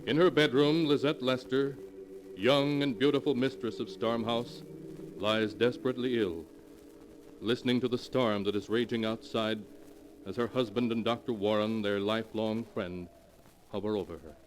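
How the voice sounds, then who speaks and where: A man playing an ominous storyteller, narrating 1940s radio horror with theatrical, slow dramatic pauses. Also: medium pitch, fairly even intonation, close to an everyday voice. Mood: grave, suspenseful. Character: ominous male storyteller of dark, strange tales. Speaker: narrator and host of a horror anthology. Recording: hissy 1940s radio recording, long reverb.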